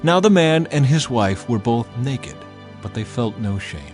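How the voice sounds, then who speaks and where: Expressive male Bible narrator, very lively pitch. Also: soft background music. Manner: expressive, deliberate storytelling. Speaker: audiobook narrator. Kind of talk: dramatic scripted Bible narration.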